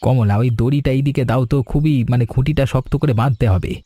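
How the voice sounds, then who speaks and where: A man voicing a kind, steady middle-aged husband and father in a cartoon story, talking in a calm, reassuring way. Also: medium pitch, fairly quick, lightly acted. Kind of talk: cartoon voice acting.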